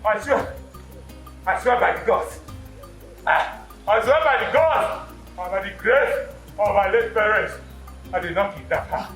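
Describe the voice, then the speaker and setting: A frantic, high-voiced accused husband. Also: male voice, unhurried, pauses often, fairly bright tone. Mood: pleading. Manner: pleading, frantic. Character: desperate man begging a king for his life. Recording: echoey, faint music behind.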